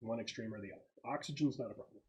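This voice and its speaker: A man's voice: medium pitch, racing, a little hiss or hum. Man running a tabletop game. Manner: conversational, explanatory. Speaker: game master. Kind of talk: tabletop game narration, casual explaining.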